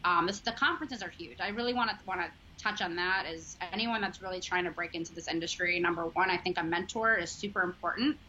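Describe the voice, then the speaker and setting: Bright-voiced Chicago woman, lo-fi. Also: fairly low pitch, quick pace, few pauses. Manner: chatty, filler-heavy, earnest. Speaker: sports journalist guest. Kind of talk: casual interview chat. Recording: dull lo-fi audio, faint noise.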